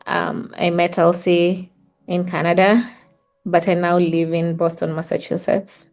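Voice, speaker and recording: A middle-aged woman with a Kenyan accent speaks in a slightly low voice. She tells personal stories reflectively, in a conversational way, as an interview guest. Faint music sits underneath. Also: monotone, a little slow, darkish tone.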